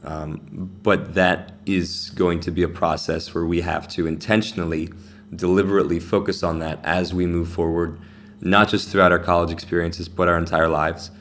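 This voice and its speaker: A young man from Virginia, a student group president, speaking earnestly and deliberately. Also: low-pitched, little pitch movement, a bit bright, a little hiss or hum. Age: young adult. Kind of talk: interview soundbite.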